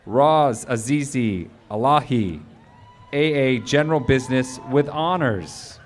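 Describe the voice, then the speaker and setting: A man reading out graduates' names and degrees at an outdoor commencement, in a slow, measured way over a podium microphone. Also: mid-range voice. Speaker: graduation name reader. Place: outdoor stadium commencement stage.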